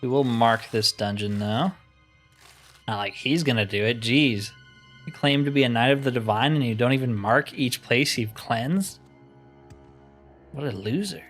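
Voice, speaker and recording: A man's voice: medium pitch, unhurried, long pauses, a bit bright, soft background music. A man giving casual, informal let's play commentary on a roleplaying video game, thinking aloud as he plays.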